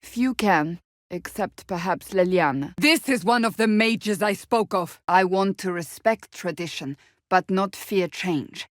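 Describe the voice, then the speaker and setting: An actress voicing a stern, devout warrior woman in a video game, with formal, deliberate and blunt, commanding delivery. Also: medium pitch, dry recording, clean studio sound, lightly acted. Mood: earnest, solemn. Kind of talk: video game voice acting, dramatic dialogue.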